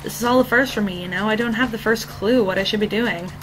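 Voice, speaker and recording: Woman reading a cheerful sporty schoolgirl, breezy, candid, bright, game dialogue. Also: mid-range voice, fast talker, soft background music, natural voice, rough recording.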